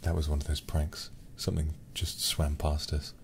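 A man livestreaming a horror game in ASMR style, muttering to himself in a hushed, breathy voice with casual swearing. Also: very deep voice, level tone, normal speed, a little hiss or hum. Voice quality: breathy, often whispered.

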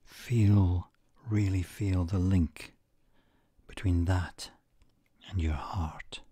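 A man guiding a meditation in a soothing, measured way, with pauses between short phrases and a soft, breathy voice that trails toward a whisper. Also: low voice, steady tone, dry recording. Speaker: meditation guide. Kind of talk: guided meditation narration.

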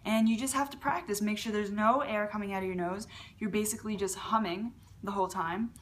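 A young woman with a fairly low voice for a woman, hosting a casual beatbox tutorial from her living room and talking to a webcam, with lots of fillers and restarts. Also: fairly even intonation, brisk, slight background noise. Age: young adult. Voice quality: lower voice for a woman. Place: large living room at home.

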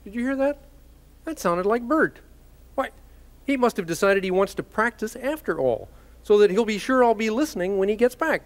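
A man's voice: high-pitched, lively intonation, normal speed. A man voicing a cheerful, carefree male puppet roommate in a children's TV comedy, breezy and oblivious. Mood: oblivious, upbeat.

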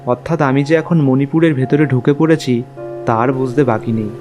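A man's voice: mid-range voice, steady tone, moderate pace, over music, close to an everyday voice. Man acting young journalist telling an eerie tale. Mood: suspenseful. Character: young male journalist recounting an eerie night. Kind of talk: scripted first-person horror story narration.

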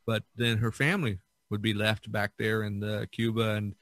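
A man's voice: on the low side, flat delivery, moderate pace, fairly bright tone, dry recording, clean studio sound. A man chatting casually as a podcast host, reminiscing in a rambling way that repeats and circles back.

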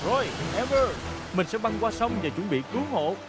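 An actor voicing a brave, decisive rescue robot leader in a children's cartoon, brisk and commanding. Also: medium pitch, expressive, very noisy, natural voice. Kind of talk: animated children's cartoon dubbing. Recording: loud music behind the voice.

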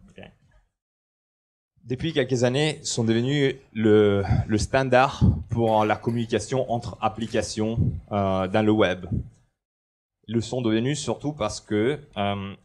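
Brief: man, 30s, lowish voice, easygoing hesitant conference presenter on a headset mic in a big hall. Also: unhurried. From Italy. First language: Italian. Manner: relaxed and joking, with many hesitations. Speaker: conference speaker. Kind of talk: presenting a technical talk. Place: large indoor conference hall, on stage.

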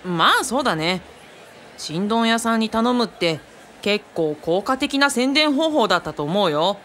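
A bright, forward voice from an actor playing an easygoing young companion in a radio drama. The character explains things in a casual, kindly way over faint background ambience. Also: medium pitch, lively intonation, normal speed, slight background noise, lightly acted. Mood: explanatory.

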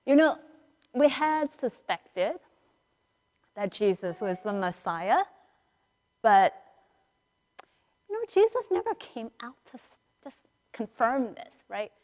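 A middle-aged woman priest giving a conversational storytelling sermon in a large church, acting out dialogue with dramatic pauses. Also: a little high, big pitch swings, a little mellow. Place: large church sanctuary, indoors, standing and moving before the altar. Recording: reverberant church hall, picked up in a large space.

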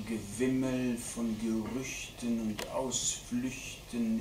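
A man giving a live dramatic poetry reading at a literary event, declaiming deliberately with strong shifts between hushed and loud delivery. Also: medium pitch. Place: indoor literary event venue at a theatre. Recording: roomy live hall recording, distant mic, faint noise.

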